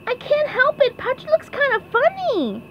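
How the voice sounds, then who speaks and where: A woman voicing an eager, childlike, bubbly male sea sponge fry cook from a cartoon storybook in an excitable way. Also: extremely high pitch, normal speed, highs cut off, a little hiss or hum, voice pushed up, exaggerated voice. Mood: giggly. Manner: excitable, exclamatory.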